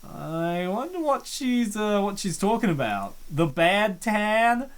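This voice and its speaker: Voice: medium pitch, big pitch swings, takes it slowly, clear, resonant tone. A streamer giving casual, joking commentary over a visual novel, laughing and rambling. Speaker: game streamer. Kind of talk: casual let's-play commentary.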